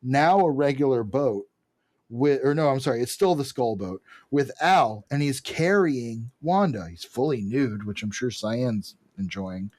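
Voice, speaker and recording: Male podcast co-host, medium pitch, conversational recap style, close dry recording. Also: unhurried, a little mellow, no echo. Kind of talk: casual comic-book recap and commentary.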